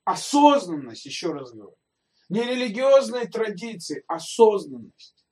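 A man's voice: high voice, sing-song, a little slow, darkish tone, distant mic. A male pastor giving a sermon in a conversational way, speaking straight to his listeners and asking them rhetorical questions.

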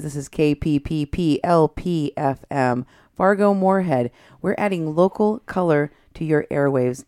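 A woman from Texas with a low, smooth voice hosts a relaxed radio chat. She talks easily, with plenty of ums along the way. The studio sound is dry and close. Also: no room sound. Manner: chatty, warm hosting with fillers. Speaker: radio host.

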